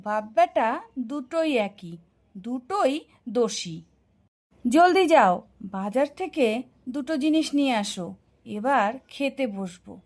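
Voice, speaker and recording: A woman plays a weary cartoon housewife and mother. Her voice is high, and she scolds and nags in a bossy way. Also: darkish tone, heavily acted. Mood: fed up. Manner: nagging, bossy. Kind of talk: cartoon voice acting.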